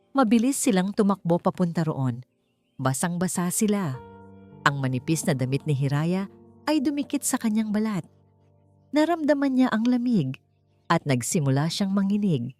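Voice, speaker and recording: A woman reading a scripted romantic story as an audiobook narrator, in a measured delivery with deliberate pauses. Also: medium pitch, darkish tone. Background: background music.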